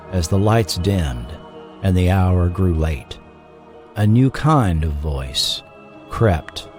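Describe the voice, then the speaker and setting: A man reading scripted documentary narration in a measured, dramatic storytelling manner. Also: on the low side, a little mellow, no room sound, studio quality. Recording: music bed under the voice. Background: background music.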